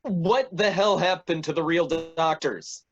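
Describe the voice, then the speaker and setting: A man's voice: a little high, unhurried, fairly bright tone, faint music behind. A man playing a grumpy, whiny, bumbling police chief in a staged reading of a comedy. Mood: indignant. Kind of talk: staged reading of a comic play. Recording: slightly dull, lo-fi sound.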